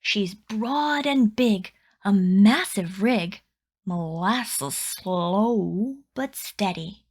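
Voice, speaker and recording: A woman reading a rhyming children's picture book aloud with deliberate, expressive storytelling phrasing. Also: medium pitch.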